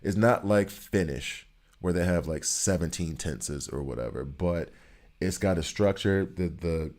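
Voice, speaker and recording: Low-voiced American man chatting while gaming. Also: unhurried, a little mellow. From United States. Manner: rambling, joking, answers chat questions. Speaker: streamer chatting with viewers. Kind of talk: casual gameplay chatter.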